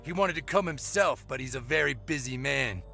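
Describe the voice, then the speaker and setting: A man playing a cold, middle-aged villain's henchman in a cartoon game, ominous and gravelly. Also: mid-range voice, animated, over music. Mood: menacing. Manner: ominous, deliberate.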